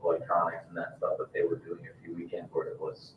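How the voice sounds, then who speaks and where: A man with a very deep, flat voice chairs a council meeting. His procedural talk reaches a distant microphone through a roomy echo. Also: quick pace, a little hiss or hum. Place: indoor public meeting room. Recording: echoey room, distant mic, dull sound.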